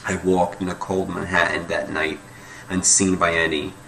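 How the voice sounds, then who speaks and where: Very deep, gravelly man reciting poetry. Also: little pitch movement, normal speed, bright, forceful tone, low-quality recording. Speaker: poetry reader.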